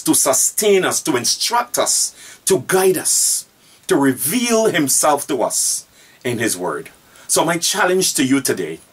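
Pastor, male, 40s, husky, deliberate repeated emphasis, recorded from a distance in a book-lined room. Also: medium pitch, a bit bright. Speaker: pastor teaching Bible study. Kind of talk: earnest Bible study teaching. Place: room with bookshelves, indoors.

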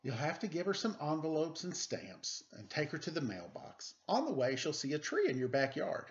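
A middle-aged man with a playful, animated, self-deprecating manner, doing a children's read-aloud with casual, joking asides into a webcam at home. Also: fairly high pitch, moderate pace. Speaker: storytime reader. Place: indoors, room at home. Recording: dull webcam audio, noisy background, clicks.

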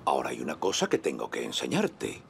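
A man voicing a gentle, encouraging old grandfather in a children's cartoon, dubbed in Castilian Spanish. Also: rumbling low, huge pitch swings, a little fast, vocal fry, noisy background, full character voice. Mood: cheerful, proud. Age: older. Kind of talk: animated children's cartoon dubbing.

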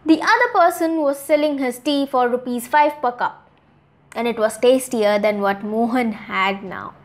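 A woman in her twenties with an Indian accent narrates a moral story for children, expressively, with dramatic pauses. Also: on the high side. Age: 20s. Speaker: storyteller, host.

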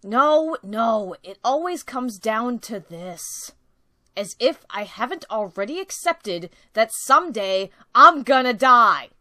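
A woman voicing a cocky, energetic young male cartoon hedgehog, brash, teasing and earnest, in an amateur comic dub. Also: fairly high pitch, slow pace, no echo, exaggerated voice. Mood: exasperated. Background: background music.

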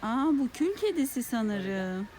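A woman's voice: fairly high pitch, big pitch swings, slow, warm tone. A woman chatting casually with a child outdoors in a park, explaining things and asking simple guiding questions. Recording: very noisy on-location recording with outdoor ambience.

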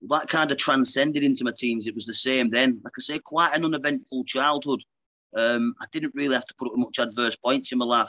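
Bright, dry-voiced Lancashire man chatting. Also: medium pitch, dry recording, lo-fi sound. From Lancashire, England. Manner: chatty, frank, keeps saying "mate". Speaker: guest, pro boxer. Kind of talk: casual interview chat. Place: indoor room, video call. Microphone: earbuds on a video call.

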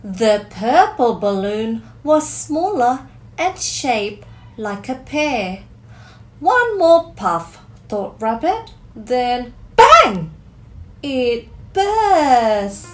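A woman in her thirties reading a picture book aloud to children, expressive and deliberate, drawing out key words in a small room. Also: fairly high pitch. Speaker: storyteller, teacher reading to children. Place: small curtained room indoors.

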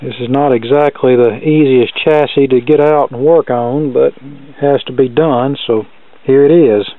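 A man's voice: mid-range voice, steady tone, moderate pace, phone-quality sound, a little hiss or hum. A man giving a casual hands-on repair demonstration into a camera mic at a workbench. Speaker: radio repair hobbyist presenting. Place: indoor cluttered workshop bench.

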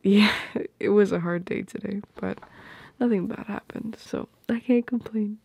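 A woman acts out a tender, playfully jealous girlfriend in an intimate ASMR roleplay. Her voice is dark and husky, drifting into whispers with hesitant pauses. She speaks slowly and teasingly, very close to the microphone. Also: medium pitch, dry recording. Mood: sleepy, affectionate. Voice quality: soft, breathy, often slipping into whispers.

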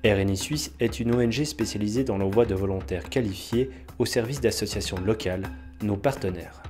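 A man giving clear, even scripted narration. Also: on the low side, fairly quick, soft background music.

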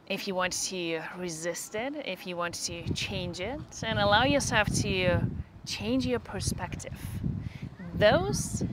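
Very slow-paced woman guiding a meditation. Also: medium pitch, background noise. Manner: unhurried, short phrases with long pauses. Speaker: meditation guide. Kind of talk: guided meditation, slow spoken instructions.

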